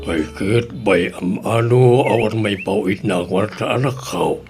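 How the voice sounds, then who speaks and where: A man's voice: on the low side, takes it slowly, over music. A man with a Filipino accent playing a worried, grumbling older father in an audio drama. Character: worried, grumbling old father of grown children. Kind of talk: Ilocano radio drama acting.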